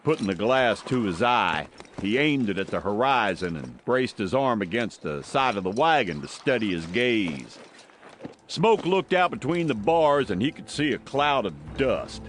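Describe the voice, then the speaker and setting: A man's voice: lowish voice, big pitch swings, a little slow, a bit bright. A man giving scripted dramatic narration of a western audiobook. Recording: music behind.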